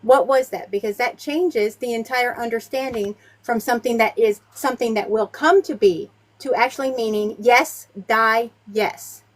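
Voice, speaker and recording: A woman with a fairly high voice questions two debaters on a live stream. She presses a technical grammar point and keeps talking over the others. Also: moderate pace, few pauses.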